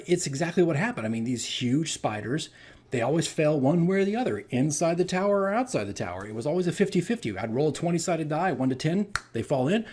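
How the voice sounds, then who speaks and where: A man's voice: on the high side, darkish tone, breathy edge. Man rambling through a casual story. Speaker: tabletop game master recounting his campaign.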